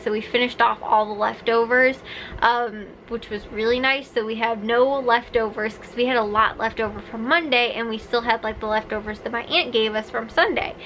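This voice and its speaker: A woman's voice: on the high side, pushed and bright, slight background noise, rough recording. A young woman in her twenties chatting casually to the camera in her bedroom, rambling with lots of "like" and "um". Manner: rambling, chatty, many fillers like "like" and "um". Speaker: vlogger. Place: bedroom, indoors.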